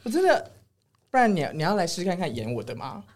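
A Taiwanese-accented woman co-hosts a casual podcast in Mandarin. Her voice sits a little low for a woman and moves up and down in a very lively way. She comes across as giggly and teasing. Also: fast. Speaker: podcast co-host.

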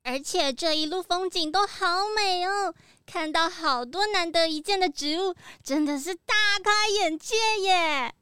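A cute little animal hiker, cheerful and naive, chirps in a high, bright voice. It sounds like a children's radio mystery. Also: female voice, unhurried, no echo, heavily acted. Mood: chirpy. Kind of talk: children's mystery audio drama.

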